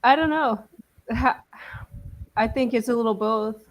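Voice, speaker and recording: A woman's voice: on the high side, unhurried. A woman speaks as a guest on a casual podcast panel. She answers hesitantly and hedges often. She uses plenty of fillers. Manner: hesitant, filler-heavy, laughing. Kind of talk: casual discussion.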